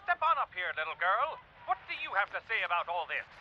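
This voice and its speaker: A man's voice: high-pitched, very animated, a little fast, bright, forceful tone, exaggerated voice. A man acting a pompous military propaganda reporter in a game, declaiming over a narrow, faintly noisy radio broadcast. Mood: patronizing. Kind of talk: on-the-spot news broadcast.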